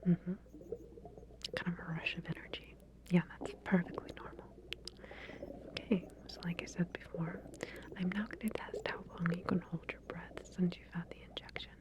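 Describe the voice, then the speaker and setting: A woman whispering softly and breathily in an ASMR roleplay, speaking to the listener as a calm, reassuring, professional researcher. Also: fairly low pitch, moderate pace. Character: calm, attentive female scientist running a medical experiment.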